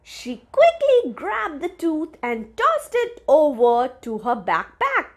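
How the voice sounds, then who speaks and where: A woman reading a children's story aloud in an expressive, deliberate teacherly manner. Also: high-pitched. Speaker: storybook reader for young children.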